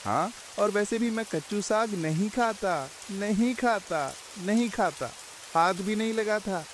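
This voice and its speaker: A sheepish cartoon village husband, always excusing himself. The voice is high and swoops widely in pitch, over faint rain and hiss. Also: male voice, lively intonation, unhurried. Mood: defensive. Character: sheepish village son-in-law making excuses. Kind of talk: comic cartoon story dialogue.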